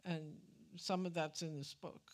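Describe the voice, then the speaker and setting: A woman's voice: low voice, level tone, measured pace. An older woman moderating a live panel discussion on an auditorium stage.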